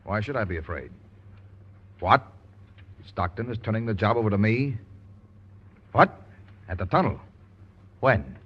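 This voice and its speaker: A husky, blustering crooked contractor. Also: male voice, fairly low pitch, very animated, measured pace, pauses often, exaggerated voice. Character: crooked male contractor, defiant then frightened. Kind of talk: 1940s radio acting. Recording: dull old radio recording.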